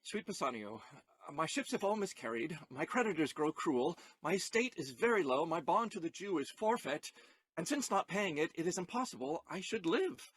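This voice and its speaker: An actor playing an earnest, distraught young gentleman lover in a Shakespearean audio play, with theatrical, declamatory delivery. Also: a little high, sing-song, moderate pace, breathy edge, no room sound, heavily acted. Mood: sorrowful, tender.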